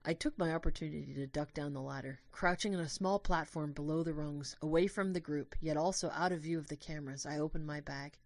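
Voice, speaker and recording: A woman's voice: low voice, brisk, hardly any pauses, no echo. A woman reading scripted first-person story narration as the narrator of an audio drama.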